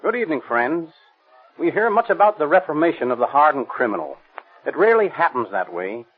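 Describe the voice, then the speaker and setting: A man's voice: fairly high pitch, very animated, a little fast, slightly rough. A man playing a police captain in a 1930s radio program, giving a grave, lecturing talk. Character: stern middle-aged male police captain. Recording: tinny lo-fi old radio recording.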